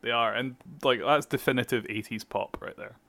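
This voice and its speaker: A man's voice: mid-range voice, steady tone, normal speed, gravelly, sharp tone, no echo. A young man co-hosting a podcast, chatting casually about music, swearing freely and explaining things at length. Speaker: podcast co-host. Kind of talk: casual chat.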